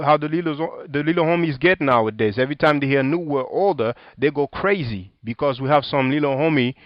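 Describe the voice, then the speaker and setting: A man with a French accent delivers a sarcastic, combative commentary full of street slang, reading passages aloud and jabbing at critics. His voice sits at an ordinary middle pitch. The recording is dry, close and a little dull. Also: dry recording. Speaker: video commentator. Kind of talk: casual commentary reading from a book and website.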